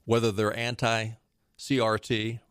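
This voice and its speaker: A man's voice: lowish voice, completely flat delivery, slow, a bit bright, clear, resonant tone, dry recording, clean studio sound. A man hosting a political talk show, giving emphatic, sarcastic commentary that hops between points.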